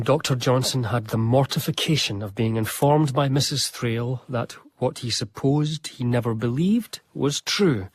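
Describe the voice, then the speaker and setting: An actor playing a devoted, melancholy eighteenth-century gentleman biographer, narrating in earnest, literary first person. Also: medium pitch, breathy edge, no echo, close to an everyday voice.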